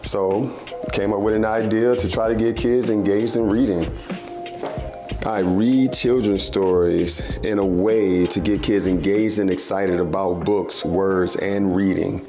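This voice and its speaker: A man's voice: fairly low pitch, steady tone, measured pace, a little mellow. A man in his thirties with an American accent, a storytime reader for children, speaking in a documentary interview. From United States. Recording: music under the voice. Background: music.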